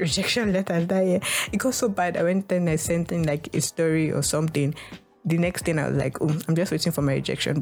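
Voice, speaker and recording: A woman's voice: low voice, a little mellow. A young Nigerian woman with a Nigerian English accent, hosting a podcast in a casual, reflective monologue, full of "like" and restarts. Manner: rambling, heavy use of "like" and restarts. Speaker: podcast host.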